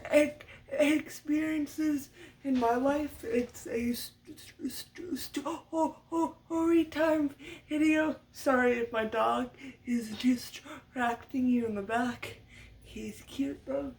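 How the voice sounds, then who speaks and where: Slow-talking young woman who stutters. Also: sits high, darkish tone. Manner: frequent stutter with repeated sounds. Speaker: vlogger. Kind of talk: sit-down story time talk. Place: home room with her dog nearby. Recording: voice a bit far from the mic.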